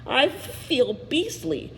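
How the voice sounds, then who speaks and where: A woman's voice: high-pitched, big pitch swings, very slow, fairly bright tone, long reverb tail, pitched above the performer's own voice, full character voice, rough recording. A woman voicing a miserable storybook dragon, once a knight, hissing and pleading. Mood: pleading, beastly.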